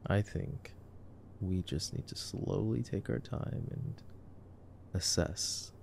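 A man's voice: low-pitched, takes it slowly, vocal fry, a little mellow, slight background noise. A young man giving casual ASMR movie commentary in a laid-back, joking, murmured way. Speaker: ASMR boyfriend roleplayer commenting on a film.

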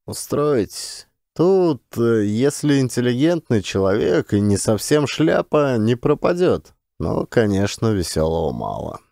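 A man voicing a gruff, weary male camp warehouse chief who talks in a mocking, curt way. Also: medium pitch, sing-song, unhurried, mellow, no room sound, clean studio sound.

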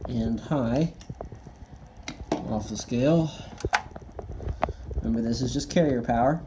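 A man giving a casual, matter-of-fact hands-on equipment demonstration, speaking haltingly. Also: mid-range voice, background noise. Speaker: presenter of radio equipment tests. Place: indoors at a wooden table.

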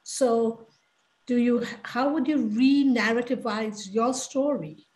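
A middle-aged woman presses a pointed question over a video call, checking her points as she goes. Her voice sits higher than most women's. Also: takes it slowly, darkish tone, low-quality recording. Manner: probing, pointed, checks with "right?". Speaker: audience member. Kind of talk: probing academic question.

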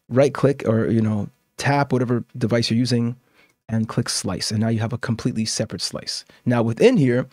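A man, roughly in his thirties or forties, giving a casual software tutorial and addressing viewers in an informal, instructional way. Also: mid-range voice. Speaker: music production tutorial host. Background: instrumental music loops playing.

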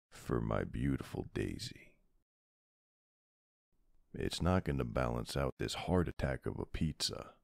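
A man playing a tough but soft-hearted mafia boss husband in an intimate ASMR roleplay, murmuring tenderly with a very deep, gravelly voice. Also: dry recording, studio quality, full character voice. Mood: warm, relaxed.

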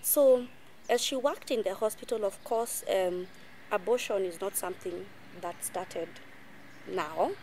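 A Kenyan woman in her thirties speaks at a medium pitch, measured and reflective as she recounts her past, easing between English and Swahili. She is recorded on a lapel mic in an outdoor garden, with a faint hiss of outdoor noise underneath. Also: darkish tone. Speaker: guest. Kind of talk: personal testimony in conversation.

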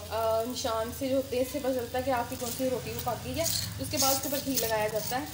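A woman's voice: on the high side, noisy background. Chatty young woman hosting a cooking vlog. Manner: chatty, explains step by step to viewers. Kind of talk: casual cooking vlog narration. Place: home kitchen.

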